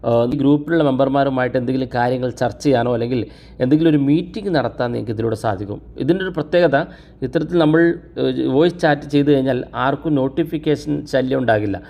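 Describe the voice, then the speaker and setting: Fast-talking man presenting a tutorial. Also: medium pitch, vocal fry, darkish tone. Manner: instructional, addresses viewers directly. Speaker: tech tutorial host. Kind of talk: explanatory phone-app tutorial narration.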